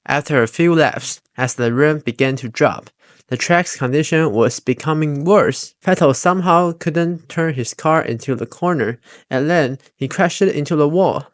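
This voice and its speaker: A man's voice: a little high, no echo, studio quality. A man reading scripted narration as a fan commentator, with non-native phrasing and grammar slips.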